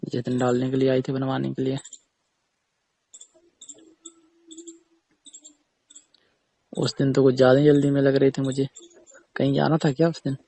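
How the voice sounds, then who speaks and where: A man's voice: mid-range voice, monotone, fast talker, gravelly, dark tone, no echo. A man playing a defensive tailor who keeps explaining himself insistently to a customer in a casual phone conversation. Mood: patient, insistent. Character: defensive male tailor arguing patiently with a customer.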